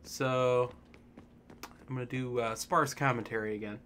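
A man's voice: fairly even intonation, fairly bright tone, slight background noise. A man giving casual, sparse commentary on a game he is playing, with a slight cough. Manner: casual, slow and low-key. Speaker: gameplay commentator. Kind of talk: sparse let's-play commentary.

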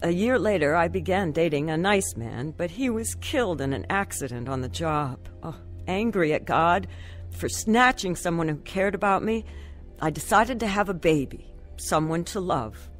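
A woman's voice: on the low side, expressive, normal speed, soft background music, lightly acted. An actress playing a troubled, anxious young woman telling her life story earnestly in a radio drama. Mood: somber confiding. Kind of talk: radio drama testimony narration and acting.

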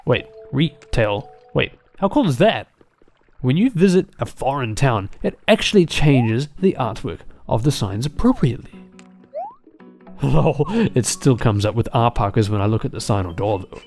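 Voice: medium pitch, sing-song, measured pace, darkish tone. A video game commentator giving casual gameplay commentary in a playful, exclamatory way, with rambling asides. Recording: game music behind voice. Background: video game music.

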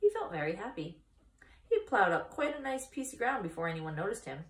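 Woman reading a storybook, lively intonation. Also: mid-range voice, a little fast, roomy sound. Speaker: story reader. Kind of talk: children's storybook read aloud. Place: small indoor room against a bare wall.

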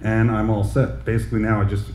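A middle-aged man talks through a gear demo in a loose, friendly sales patter. His voice is mellow, and it rings a bit in the roomy store. A faint hum of noise sits behind him. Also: fairly low pitch, fast talker. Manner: chatty, relaxed salesman patter with fillers. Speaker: store product demonstrator. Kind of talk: casual product demo. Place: large indoor audio gear shop. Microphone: headset and handheld mics, switched. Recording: roomy shop sound, faint background noise.

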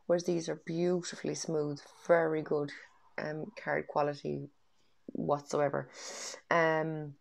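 A woman's voice: sits low, level tone, slow, creaky. An Irish woman in her thirties, congested from a cold, chatting enthusiastically in a home vlog through earphone mic in her bedroom, with plenty of ums. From Ireland. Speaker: tarot deck reviewer. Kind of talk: casual vlog chat. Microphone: wired earphone mic.

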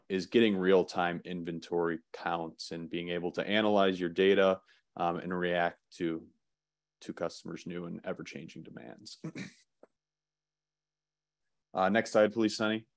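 A man presenting slides in an online webinar, relaxed, with many "um" fillers and restarts. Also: low-pitched, moderate pace, no echo, clean studio sound.

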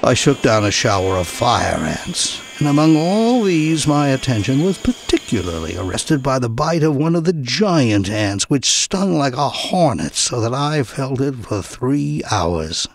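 Expressive male audiobook narrator, creaky voice. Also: mid-range voice, a little slow, hardly any pauses, a little hiss or hum. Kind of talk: dramatic scripted audiobook narration of a first-person memoir. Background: music.

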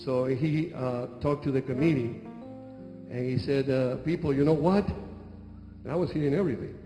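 A middle-aged man from Argentina, a native Spanish speaker with an Argentine accent, preaching a sermon as a bishop. He talks into a handheld mic, telling humorous stories with halting restarts and many fillers. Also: medium pitch, warm tone. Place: large church sanctuary on a stage. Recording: echoey church hall with music.

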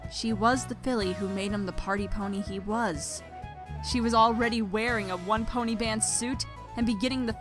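A woman's voice: on the high side, a bit bright. A woman reading scripted story narration expressively as the narrator.

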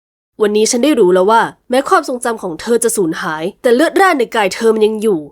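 A woman's voice: on the high side, animated, quick pace, close to an everyday voice. Woman voicing a furious jealous young boss. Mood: accusing. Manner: harsh, accusing, through gritted teeth.

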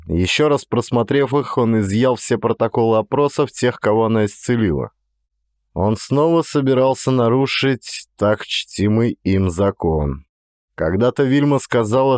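A man narrates a detective thriller as an audiobook. His voice has a medium male pitch, and the scripted reading is captured in a very dry, close-sounding recording. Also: darkish tone, no room sound.

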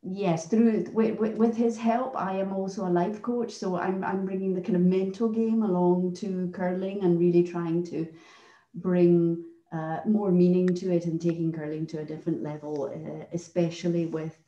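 A woman's voice: lowish voice, steady tone, moderate pace, warm tone. A Scottish woman in her fifties introducing herself on a video call, chatty and hesitant with many fillers. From Scotland. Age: 50s. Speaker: curling organizer and life coach. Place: home office with shelves. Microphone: video call webcam. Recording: roomy, dull webcam audio.

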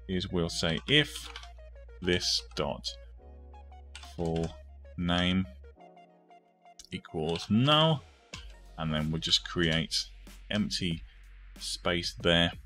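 A man's voice: sits low, bright, forceful tone. A man hosting a step-by-step coding tutorial, informally thinking aloud as he goes. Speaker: tutorial host.

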